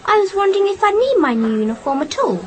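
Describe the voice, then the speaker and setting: A woman's voice: very high-pitched, big pitch swings, fast talker, some chatter behind. A young female performer in a radio drama playing an earnest, frank little English schoolgirl. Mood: earnest, puzzled.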